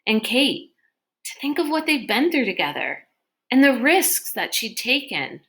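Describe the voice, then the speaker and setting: A woman reading a children's novel aloud in an expressive storytelling style. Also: high voice, measured pace. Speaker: read-aloud reader. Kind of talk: expressive children's book narration.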